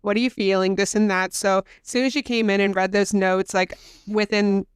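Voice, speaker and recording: A young woman co-host chats loosely, with fillers and quick restarts, her nose congested. Her voice comes through very clear, and the recording is dry with no room sound. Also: mid-range voice, level tone, no room sound. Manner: chatty, many fillers and self-corrections. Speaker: podcast co-host. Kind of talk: casual chat.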